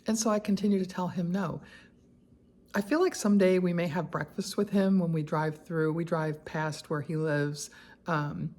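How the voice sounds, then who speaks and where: A woman, talking candidly in a personal vlog, chatty and quick to laugh, with many asides and fillers. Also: on the low side, fairly even intonation, a little mellow. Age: 60s. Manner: conversational with frequent fillers and asides. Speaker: lifestyle vlogger.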